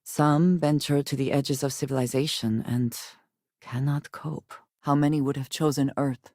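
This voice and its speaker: Female sci-fi game explorer, guarded, intense, formal measured phrasing, creaky voice, dry studio sound. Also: extremely low pitch, fairly even intonation, mellow, no room sound. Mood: measured, serious. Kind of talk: video game character dialogue.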